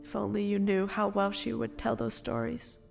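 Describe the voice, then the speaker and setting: A woman playing a wronged, grieving noble parent and reluctant assassin, earnest and formal, in a dark fantasy audio drama. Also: medium pitch, level tone, moderate pace, creaky, soft background music. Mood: grieving, resolute.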